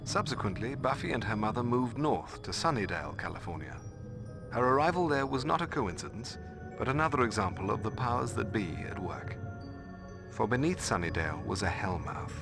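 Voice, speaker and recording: Scholarly watcher mentor, low gravelly voice, grave measured storytelling, formal game narration, music behind. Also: male voice. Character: learned, solemn male watcher mentor recounting lore.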